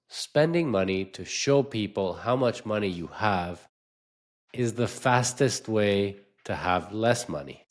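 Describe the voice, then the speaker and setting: A man delivering a scripted motivational talk as an investor and coach, in a measured, emphatic way with deliberate pauses. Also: lowish voice.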